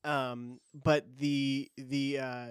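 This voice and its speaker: A man hosting a casual podcast, enthusiastic but halting, with many fillers and repeated words. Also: mid-range voice, flat delivery, smooth, dry recording, studio quality. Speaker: podcast host.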